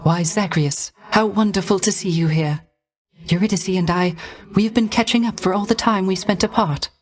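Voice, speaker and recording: A man playing a gentle, melancholy dead musician in a video game, with a soft, light voice and wistful, courtly, hesitant phrasing. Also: high voice, normal speed, natural voice. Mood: hopeful, earnest. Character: gentle, melancholy dead male musician mourning lost love. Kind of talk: theatrical video game character acting.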